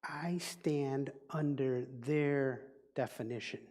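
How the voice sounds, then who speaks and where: A man with a Dutch accent, a native Dutch speaker, giving a reflective sermon as a minister in a deliberate, teaching manner. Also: mid-range voice, a little mellow. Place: church sanctuary. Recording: long reverb of a large hall.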